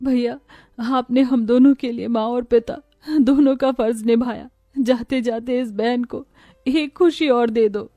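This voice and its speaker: A tender young sister from a poor family , voiced by a woman storyteller, pleads earnestly in a cartoon tale. Her voice is high and soft, recorded dry. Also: fairly even intonation, a little slow, dry recording, soft background music, natural voice. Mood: pleading.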